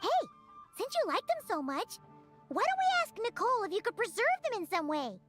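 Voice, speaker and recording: A woman's voice: extremely high pitch, huge pitch swings, a little fast, music in the background, full character voice. A woman playing a tiny, cheerful fairy companion in a video game, perky, eager and inquisitive like a child. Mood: eager, friendly. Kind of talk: video game character voice acting.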